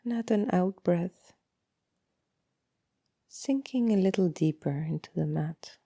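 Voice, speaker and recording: A woman leading a guided body scan meditation in a soft, breathy voice, calm and unhurried, often trailing into a near-whisper with long pauses. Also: fairly low pitch, dry recording, studio quality.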